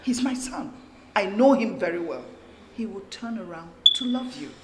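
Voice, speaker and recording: Woman as a middle-aged mother, lively pitch, insistent, echoey room. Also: normal speed, heavy background noise, close to an everyday voice. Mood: soothing. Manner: soothing yet forceful, insistent. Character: reassuring mother pushing her son to marry. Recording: roomy sound with music behind.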